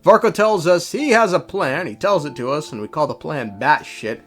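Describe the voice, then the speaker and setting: A man's voice: on the high side, normal speed, a bit bright. A man narrating a scripted story recap in an irreverent, slangy, tongue-in-cheek way. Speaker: video creator recapping game lore.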